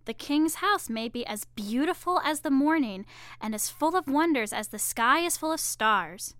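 A woman's voice: high voice, animated, moderate pace, fairly bright tone, no room sound, voice pushed up. A woman voicing a fearful young woman traveler in a storybook, stubborn and frightened.

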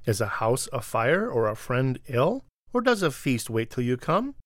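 A woman's voice: rumbling low, very animated, normal speed, no room sound, clean studio sound, voice pushed down, heavily acted. A woman voicing a solemn, sorrowful traveling man in a storybook reading, speaking in old-fashioned phrases. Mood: questioning.

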